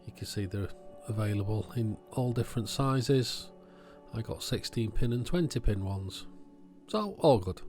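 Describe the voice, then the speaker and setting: A man's voice: fairly low pitch, lively intonation, a little slow, a little mellow, soft background music. A man hosting a hobby electronics video, giving casual, matter-of-fact commentary as he thinks aloud while handling and testing things.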